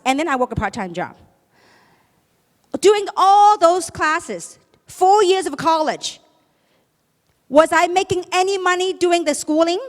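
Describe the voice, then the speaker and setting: High-pitched woman speaker in an echoing hall. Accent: Chinese. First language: Chinese. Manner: emphatic, rhetorical questions answered by herself. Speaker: motivational business speaker. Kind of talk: motivational sales seminar talk to an audience. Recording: echoey hall, long reverb.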